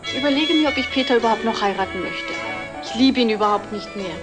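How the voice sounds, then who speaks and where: An actress playing a sulky young daughter in a 1960s German TV drama, petulant and reproachful. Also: female voice, fairly high pitch, expressive, quick pace, loud music behind the voice, lightly acted. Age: young adult.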